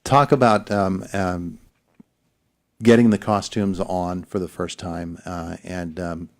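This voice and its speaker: A man with a very low voice hosts a morning radio program from the studio. He chats casually with guests, and his questions come out halting and full of "uh" and "um.". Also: fairly even intonation. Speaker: radio morning show host. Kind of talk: casual interview.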